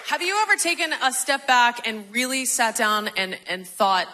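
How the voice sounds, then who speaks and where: A woman's voice: fairly high pitch, expressive, normal speed, sharp tone, some chatter behind. A woman hosting a radio interview on stage, speaking into a handheld microphone. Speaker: radio host interviewing a band. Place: theater stage.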